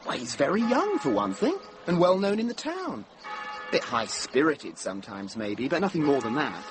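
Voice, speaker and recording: An actor playing an earnest, gossipy monk bringing news in a radio drama, chatty and a little hesitant. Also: male voice, fairly high pitch, very animated, normal speed, over music. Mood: earnest, excited. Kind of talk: British radio drama acting.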